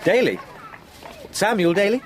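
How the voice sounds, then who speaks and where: An actor playing a young English solicitor in a radio drama, formal, articulate and questioning, with an uneasy edge. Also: male voice, sits high, big pitch swings, a little slow. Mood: polite curiosity. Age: young adult.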